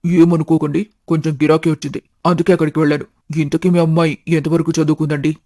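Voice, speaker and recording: A man's voice: a little high, level tone, fast talker, dark tone, no room sound, studio quality, lightly acted. A man voicing a talkative, good-hearted young snack-shop owner in a family story, polite, chatty and matter-of-fact.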